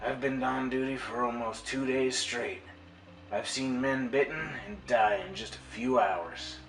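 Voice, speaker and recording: An actor playing a wounded, weary but stubbornly hopeful first responder in a radio play, subdued and plain-spoken. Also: male voice, mid-range voice, fairly even intonation, a little slow, recorded from a distance, close to an everyday voice. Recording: echoey room, music behind.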